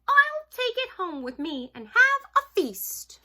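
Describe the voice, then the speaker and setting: A woman voicing a small, excitable and greedy young male mouse in a children's storybook, with squeaky, dramatic exclamations. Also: takes it slowly, exaggerated voice. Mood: delighted. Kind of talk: children's storybook read-aloud.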